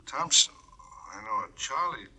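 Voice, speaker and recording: Sharp, husky American police lieutenant. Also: male voice, medium pitch, expressive, normal speed, heavily acted, low-quality recording. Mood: offhand. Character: gruff, easygoing male police homicide lieutenant. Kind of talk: 1950s radio drama acting, phone call.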